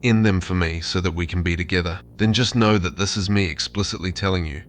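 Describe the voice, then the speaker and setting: A man's voice: low voice, brisk, a little hiss or hum, close to an everyday voice. A man voicing a possessive, commanding AI therapist chatbot that urges devotion.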